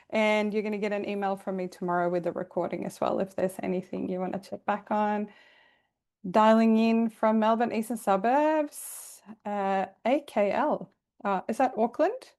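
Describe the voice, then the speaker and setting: A Swedish-born woman coaching a live online workshop, her pitch sitting in the middle of the range. She talks in a chatty, welcoming way and laughs lightly between points. She comes through a video call. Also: fairly even intonation, unhurried, darkish tone. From Sweden. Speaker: career coach hosting a webinar.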